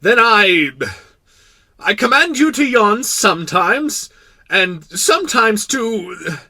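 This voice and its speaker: A man voicing a proud, kindly but pompous king in a storybook, making imperious declarations with drawn-out words. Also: high voice, big pitch swings, pushed and bright, slightly rough, no room sound, studio quality, voice pushed up, full character voice. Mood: flustered, annoyed. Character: proud, kindly, pompous absolute monarch of a tiny planet.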